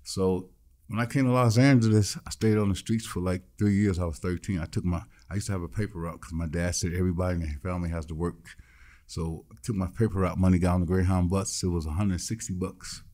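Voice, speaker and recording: A man guesting on a studio podcast, telling his life story in an animated, punchy, streetwise way. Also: deep voice, fast talker. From Midwestern United States. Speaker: guest musician and producer. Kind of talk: casual storytelling interview.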